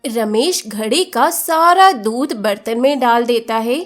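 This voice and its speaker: A woman narrates a scripted moral tale in a fairly high voice. Her pace is slow, and faint music plays under the storytelling. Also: fairly bright tone.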